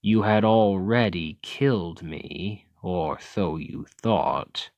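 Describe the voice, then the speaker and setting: Male actor as demon king, ASMR roleplay, very slow pacing, smug, calculating, deliberate, close dry sound. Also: deep voice, darkish tone, no echo, muffled recording. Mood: smug, amused. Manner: slow, deliberate, condescending, taunting. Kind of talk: ASMR roleplay, scripted acting addressed to listener.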